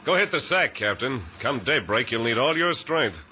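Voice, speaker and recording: An actor playing a mocking, menacing gangster villain with theatrical, flowery slang in a 1950s radio drama. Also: male voice, mid-range voice, big pitch swings, normal speed, over loud music, natural voice. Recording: noisy vintage radio recording.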